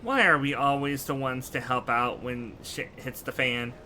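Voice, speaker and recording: A man's voice: medium pitch, normal speed, sharp tone, a little hiss or hum. A man giving casual, wry and irreverent commentary as he hosts a video game playthrough. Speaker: gameplay host. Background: faint game music.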